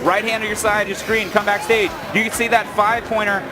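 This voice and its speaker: A man giving live play-by-play as an esports tournament commentator, excitable and hyping up the players. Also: high voice, fast, music in the background.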